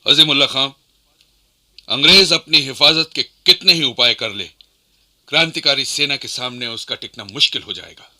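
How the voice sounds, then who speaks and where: An actor playing a commanding, deliberate rebel leader and strategist in a historical radio play. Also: male voice, medium pitch, bright, forceful tone. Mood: measured, commanding. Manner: deliberate, authoritative.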